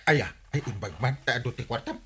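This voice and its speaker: An actor playing a genial, coaxing village captain in an Ilocano radio comedy drama. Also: male voice, mid-range voice, a little fast, sharp tone, breathy edge.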